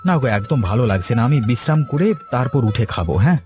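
Tired cartoon village husband male actor: quick, varied intonation, mild and coaxing, light music bed. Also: mid-range voice, big pitch swings, darkish tone, lightly acted. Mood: weary, placating. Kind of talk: cartoon story dialogue.

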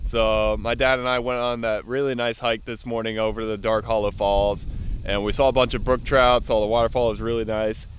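A man's voice: on the low side, brisk, a little hiss or hum. A young man in his twenties talking casually to a handheld camera while camping and hiking outdoors. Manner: relaxed, chatty, upbeat about nature. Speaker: camping vlogger. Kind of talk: casual vlog narration. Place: outdoors at a campsite, meadow and in a tent. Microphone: handheld camera at arm's length.

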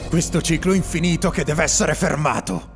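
A man voicing a scheming, taunting animatronic bear villain in a cartoon parody dub, with a theatrical gravelly delivery. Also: fairly high pitch, steady tone, normal speed, soft background music, natural voice. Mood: smug menace.

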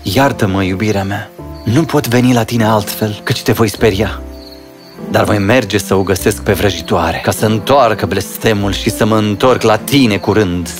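A man's voice: on the low side, over music, lightly acted. A man playing a lovelorn young man cursed as a werewolf in a cartoon fairy tale, sorrowful and earnest.

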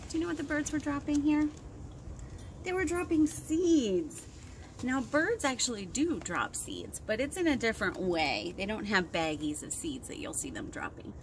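A woman in her thirties leading an interactive storytime for young children, expressive and sing-song, asking lots of questions. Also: a little high, normal speed. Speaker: children's librarian hosting storytime. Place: outdoors on a wooden deck by trees. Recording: windy outdoor recording with clear noise. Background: wind and outdoor ambience.

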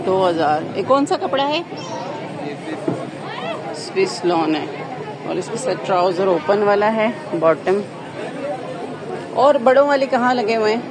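A woman with a Pakistani accent speaks at a middle pitch as she films a casual shopping vlog. She comments on goods and asks vendors for prices. A loud, bustling indoor market crowd surrounds her voice. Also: lively intonation, a little slow, pauses often, noisy background. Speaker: shopping vlogger. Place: busy indoor shopping bazaar. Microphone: handheld phone, behind the camera.

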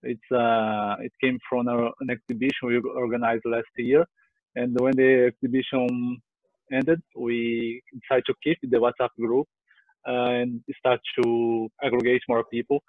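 A man's voice: medium pitch, flat delivery, measured pace, dry recording. A man in his thirties with a Brazilian accent, giving an informal group report and often rephrasing himself. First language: Portuguese. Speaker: sketching group organiser. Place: room at home. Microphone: headset on a video call. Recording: dry, thin phone-like call audio.

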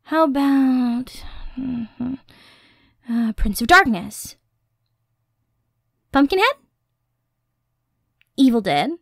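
A woman's voice: sits high, expressive, drawn-out words, creaky, pauses often, no echo, studio quality, exaggerated voice. A young woman playing a dorky, enthusiastic horror-nerd girlfriend in an ASMR roleplay, rambling casually and musing aloud. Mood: musing, playful. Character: dorky, Halloween-obsessed girlfriend, nerdy and enthusiastic. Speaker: voice actor in audio roleplay.

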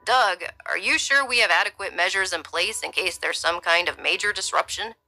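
Woman reading a veteran security guard, plain and bright-toned. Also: on the low side, normal speed, soft background music, low-quality recording. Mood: concerned. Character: seasoned male security officer.

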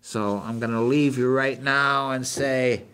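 An older man with a New England accent hosts a rambling book review in a halting, folksy way, repeating himself as he goes. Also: mid-range voice, fairly even intonation, fairly bright tone, clear, resonant tone. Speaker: show host. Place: small meeting room indoors.

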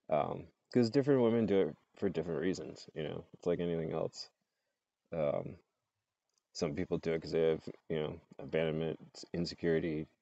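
Very deep-voiced man, laughing vlog ramble. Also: vocal fry, dark tone, dry recording, highs cut off. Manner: laughs often, loose and chatty. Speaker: vlogger. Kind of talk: casual rambling monologue. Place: outdoors under trees. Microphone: earbud headset mic, phone close up.

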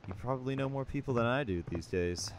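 A man's voice: mid-range voice, fast, noisy background, natural voice. A man playing a jaded writer in their thirties, dry and self-deprecating, in an amateur comic dub. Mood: wry, weary.

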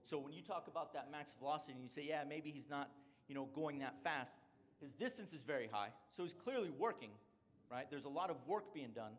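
A man's voice: fairly high pitch, expressive, brisk. A middle-aged man, a strength and conditioning coach, giving a conference presentation and explaining points with frequent "right?" check-ins.

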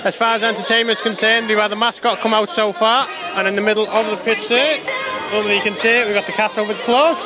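A man's voice: high-pitched, fairly even intonation, fast, loud music behind the voice. A man gives chatty, grumbling commentary from the stands of an outdoor rugby ground, filmed on a handheld phone. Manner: chatty, grumbling, talks over the crowd. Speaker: fan vlogger at a rugby ground. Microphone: phone camera held in hand. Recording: loud crowd noise, outdoor phone recording. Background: loud crowd and outdoor noise.

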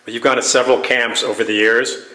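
Fast-talking male interviewer. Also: medium pitch, level tone, sharp tone. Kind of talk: informal sports interview. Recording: echoey room.

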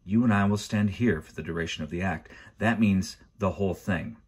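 A menacing, bony villain's henchman from a storybook, put on by a male narrator. He is low-voiced and curt, handing out threats as orders. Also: brisk, slightly rough. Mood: stern. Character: menacing bony henchman, bald with a long nose.

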